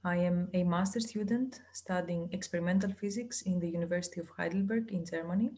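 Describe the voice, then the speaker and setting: A woman's voice: on the low side, fairly quick, dark tone. A young woman master's student from Greece eagerly introducing her thesis project. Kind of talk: self-introduction to camera.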